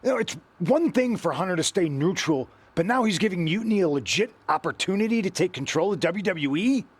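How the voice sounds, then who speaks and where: A man's voice: high voice, lively intonation, a little fast, a little hiss or hum. A man playing a vengeful wrestler in a video game cutscene, blunt and indignant with clipped replies. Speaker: wrestler seeking revenge.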